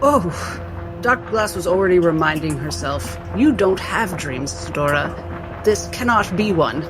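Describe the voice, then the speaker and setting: High-pitched male roleplayer. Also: lively intonation, measured pace, over music. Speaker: tabletop game player. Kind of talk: in-character roleplay and narration.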